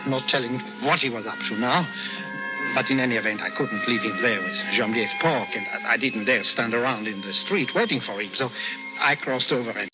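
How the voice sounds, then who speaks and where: A man's voice: mid-range voice, a little fast, music in the background, close to an everyday voice. An actor playing an anxious, exasperated man who tells his wartime tale in dramatic old-time radio style. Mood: tense narrating. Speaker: narrator and lead character. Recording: noisy, dull old radio recording.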